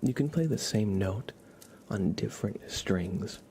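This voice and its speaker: Male ASMR live streamer, low and mellow, soft with slips into whisper, informal halting explainer, slight noise behind. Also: lively intonation.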